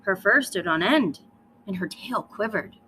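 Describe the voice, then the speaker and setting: Female children's read-aloud narrator: expressive, highly animated delivery, slightly noisy recording. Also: unhurried. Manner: dramatic emphasis. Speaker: storybook reader.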